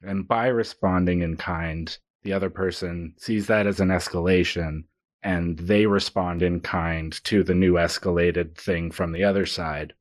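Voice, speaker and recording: A man's voice: low-pitched, fairly even intonation, a little slow, dry recording, studio quality. A man co-hosting a podcast, talking casually and informally as he thinks aloud. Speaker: podcast co-host. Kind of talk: casual conversational discussion.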